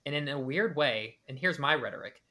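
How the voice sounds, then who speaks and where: Male podcast host reacting to a clip, bright voice, analytical, lots of restarts. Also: mid-range voice, animated, brisk. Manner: analytical, with many restarts and hedged speculation. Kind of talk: reaction commentary.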